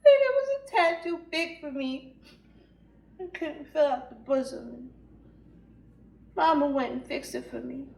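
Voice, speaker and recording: A woman playing an embittered, unhinged young woman jealous of her sister, telling her story slowly and swinging into bitter outbursts in a dramatic stage monologue. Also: high voice, animated, vocal fry, a little mellow, heavily acted. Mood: furious, wounded. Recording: roomy echo, distant microphone.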